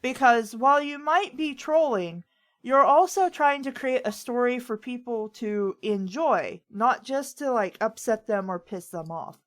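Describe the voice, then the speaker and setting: A woman with a fairly high voice talks casually as a show host. She rambles through her opinions in a conversational storytelling way. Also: animated, unhurried. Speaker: host of a fan fiction reading show. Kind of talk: casual chat.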